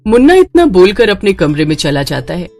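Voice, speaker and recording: A woman's voice: on the low side, very animated, fairly quick, a little mellow, soft background music. A woman narrating a scripted dramatic story as the storyteller.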